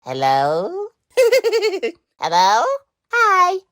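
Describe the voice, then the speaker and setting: A woman voicing a manic, giggling, mocking prankster animatronic in a video game, shrill and childishly teasing. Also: wildly swooping pitch, drawn-out words, a bit bright, no room sound, clean studio sound, heavily acted. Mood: manic glee. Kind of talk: video game character voice lines.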